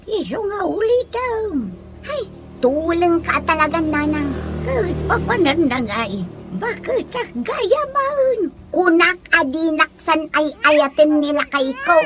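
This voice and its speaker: A woman playing a nagging, quick-tempered Ilocano wife in a comedy radio drama, theatrical and whining, with an Ilocano accent. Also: very high-pitched, exaggerated voice. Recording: clearly noisy recording.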